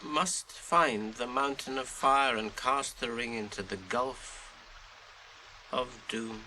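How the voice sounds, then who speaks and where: An actor in a radio drama playing a weary, burdened halfling on a desperate quest, with halting, dramatic delivery. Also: male voice, medium pitch, bright, forceful tone, poor audio. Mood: exhausted, faltering. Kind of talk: 1980s radio drama acting. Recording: radio drama with clear background noise.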